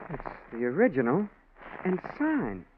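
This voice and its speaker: A man's voice: fairly high pitch, wildly swooping pitch, unhurried. An American man playing a wary, challenging family confidant in a 1940s radio drama, recorded with old-time hiss and tinny sound. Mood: solemn, surprised.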